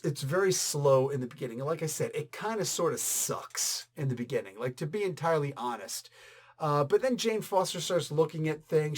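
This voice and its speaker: Higher-voiced man, casual and emphatic. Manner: animated, emphatic, conversational with sudden exclamations and asides. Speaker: comic book reviewer and channel host. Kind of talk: casual opinionated review chat.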